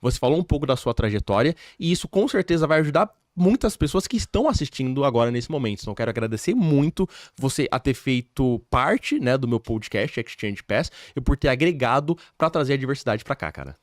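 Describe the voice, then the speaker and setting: Animated Brazilian man hosting. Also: medium pitch, fast, few pauses, no echo, clean studio sound. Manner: animated, emphatic, addresses the audience directly. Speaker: podcast host and English teacher. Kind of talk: casual interview chat. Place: podcast studio.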